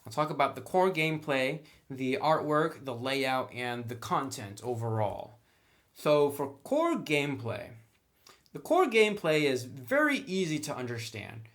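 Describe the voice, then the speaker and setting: A man's voice: mid-range voice, very animated, unhurried, fairly bright tone. A man giving a casual, opinionated review as a tabletop game reviewer, talking in an informal, conversational way.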